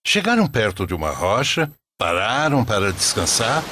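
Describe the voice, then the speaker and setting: A Brazilian man narrating a religious cartoon story in a solemn, measured storytelling manner. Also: mid-range voice, fairly bright tone, slight background noise.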